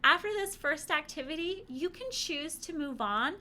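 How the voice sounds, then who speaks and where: A woman giving an upbeat, chatty lesson to parents, encouraging and animated, with frequent rhetorical questions. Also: sits high, normal speed. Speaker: presenter teaching parents. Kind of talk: upbeat conversational instructional talk to camera.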